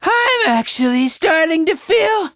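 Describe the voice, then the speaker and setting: Shy self-deprecating anime game boy, high stammery voice, really up and down, dry close sound. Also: sing-song, unhurried, fairly bright tone, no room sound, exaggerated voice. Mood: feverish, stubborn. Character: timid, self-deprecating, excitable teenage boy. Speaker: voice actor. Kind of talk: theatrical anime-style game acting.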